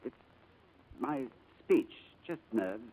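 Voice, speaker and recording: Halting, nervous, lost man. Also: mid-range voice, lively intonation, mellow, poor audio. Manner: hesitant, halting, stammering. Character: gentle, nervous amnesiac man, shy and lost. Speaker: radio actor. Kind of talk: 1940s radio drama acting. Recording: old radio broadcast recording.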